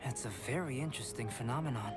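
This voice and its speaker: Man voicing a calm, aloof young alchemist. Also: mid-range voice, close to an everyday voice. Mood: calm, analytical. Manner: measured, formal, reserved. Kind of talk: scripted video game dialogue. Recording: background music under the voice.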